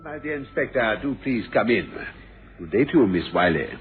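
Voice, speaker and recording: An actor playing an effusively courteous, evasive businessman in a radio drama, with a husky voice. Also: mid-range voice, very animated, normal speed, faint music behind, close to an everyday voice. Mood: smoothly courteous. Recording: phone-like old radio recording.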